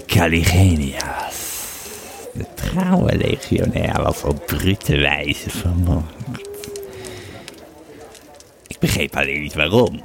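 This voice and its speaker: Man acting a very deep, haunted Roman legionary. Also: gravelly, full character voice. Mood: grave, haunted. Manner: halting, repeating words, hushed at times. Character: weary, troubled Roman legionary recounting his tale over drink. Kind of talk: audio drama monologue and narration.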